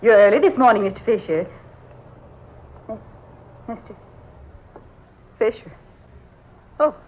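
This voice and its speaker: An actress playing a startled, frightened office secretary in an old-time horror radio drama. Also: female voice, medium pitch, takes it slowly. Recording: tinny phone-like old radio recording.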